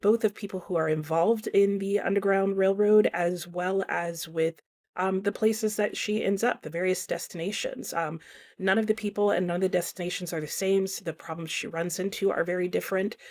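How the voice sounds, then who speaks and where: A woman with a mid-pitched voice gives a casual, conversational book review in vlog style. She explains her opinions plainly, as if talking to viewers. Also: fairly even intonation, moderate pace, darkish tone.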